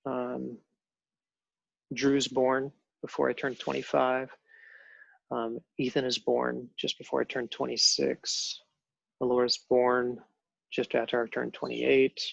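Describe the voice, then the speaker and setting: A man in his fifties telling his own story as a guest, halting with many pauses and fillers. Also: mid-range voice, little pitch movement, vocal fry, fairly bright tone, breathy edge, dry recording, highs cut off. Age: 50s. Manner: halting, many ums and restarts. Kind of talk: personal storytelling on a panel show.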